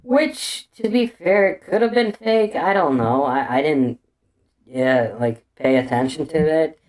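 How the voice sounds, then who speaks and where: A man's voice: fairly high pitch, slow. A man living in Bulgaria with an unusual accent, rambling through a casual, crude commentary full of restarts and fillers. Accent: unusual non-native English accent.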